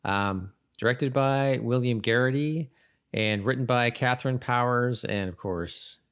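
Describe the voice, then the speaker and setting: A man co-hosting a podcast in a casual, chatty style, often halting and rephrasing as he goes. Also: medium pitch, fairly bright tone, clean tone. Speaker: podcast host. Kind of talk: casual co-hosted chat about a TV show.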